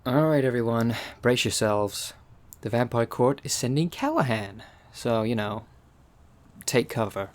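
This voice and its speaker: A man's voice: mid-range voice, lively intonation, measured pace, close to an everyday voice. A man playing a weary, irritable law officer in an audio roleplay, dry and exasperated. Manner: exasperated, dry, matter-of-fact.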